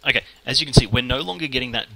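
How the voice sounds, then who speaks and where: A man with an Australian accent talking fast and casually through a screen-recorded modelling tutorial, muttering at the software as he works. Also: medium pitch, creaky, heavy background noise. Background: keyboard typing and mouse clicks.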